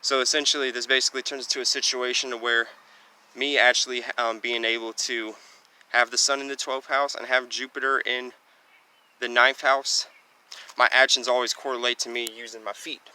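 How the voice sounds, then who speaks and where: A young man with a loud, booming voice talking casually while walking outdoors, rambling along with lots of "you know" and "basically". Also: level tone, normal speed, smooth. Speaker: astrology vlogger. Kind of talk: casual walking vlog monologue. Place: outdoors, walking near trees and traffic. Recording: outdoor street noise, wind and passing traffic.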